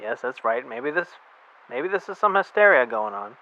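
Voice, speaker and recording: An actor playing a casual, chatty studio radio announcer in an amateur radio drama. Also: male voice, mid-range voice, very animated, moderate pace, sharp tone. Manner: casual, chummy presenting. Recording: tinny phone-like sound.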